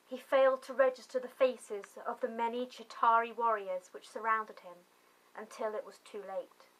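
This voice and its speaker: A woman narrating a story in a theatrical, deliberate manner, swelling on key phrases for emphasis. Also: on the high side, poor audio. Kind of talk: dramatic story reading.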